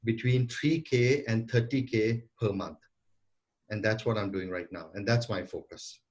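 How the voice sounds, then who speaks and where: A man in his forties speaks with a somewhat low voice. He answers interview questions earnestly over a remote call. He often repeats and restarts words as he goes. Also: unhurried. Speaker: guest entrepreneur and sales coach.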